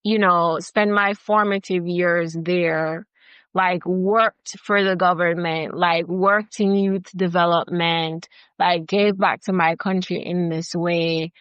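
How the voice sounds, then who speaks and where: A woman's voice: fairly low pitch, fairly even intonation, slow pace, fairly bright tone, clean tone, dry recording, dull audio. A woman with a Jamaican accent, a filmmaker guest in her thirties, talking earnestly and emphatically in an interview, with lots of "like" and "you know". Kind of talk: passionate conversational interview.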